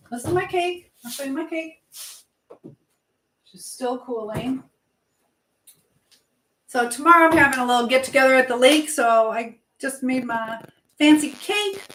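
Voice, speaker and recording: A woman's voice: high voice, sharp tone. A woman hosting a casual live stream while busy in the kitchen, chattily talking to herself and to her viewers and reading out comments. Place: indoors in a kitchen. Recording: echoey room with clear background noise.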